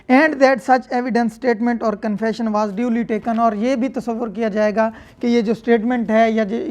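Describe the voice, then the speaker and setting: A woman's voice: on the high side, darkish tone. A woman with a Pakistani accent giving a lecture, explaining step by step in a didactic, instructional way. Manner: didactic, step-by-step, checking with tag phrases. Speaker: law lecturer. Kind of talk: explanatory lecture reading statutes in English and explaining them with examples.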